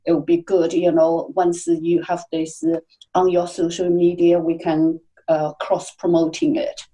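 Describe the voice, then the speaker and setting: Hesitant woman guest, mellow low voice. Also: little pitch movement, a little slow, poor audio. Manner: hesitant, many uhs and fillers. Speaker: podcast guest. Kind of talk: casual interview answers.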